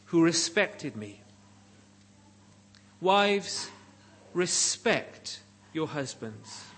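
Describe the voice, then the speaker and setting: A middle-aged Welsh man preaches in a fairly high, husky voice. His pitch moves in wide, lively swings. He delivers the sermon slowly, setting out each phrase with deliberate emphasis. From Wales.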